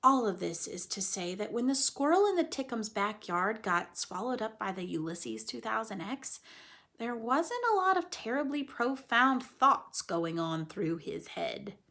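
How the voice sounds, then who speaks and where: Female children's storyteller, slightly high, very animated pitch, dramatic stretched words and hushed asides. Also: a little slow, creaky. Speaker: children's read-aloud storyteller. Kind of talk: expressive storybook narration with chatty asides to children.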